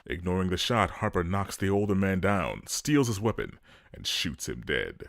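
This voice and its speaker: Low-voiced male narrator, animated delivery. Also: moderate pace, creaky, background noise. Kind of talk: dramatic scripted narration.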